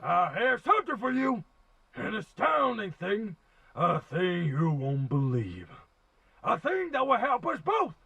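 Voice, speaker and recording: A man voicing an eccentric, grandiose inventor and mystic in a video game, raspy, creaky and theatrically verbose. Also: high voice, wildly swooping pitch, unhurried, sharp tone, lo-fi sound, exaggerated voice. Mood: eerie, cryptic. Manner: theatrical, verbose, bursts of emphatic exclamation. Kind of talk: video game character acting.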